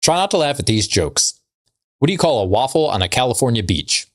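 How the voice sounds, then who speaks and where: A man's voice: medium pitch, big pitch swings, a little fast. A man co-hosting a comedy podcast, chatting casually and telling jokes in a laid-back, wry way. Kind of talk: casual banter and joke telling.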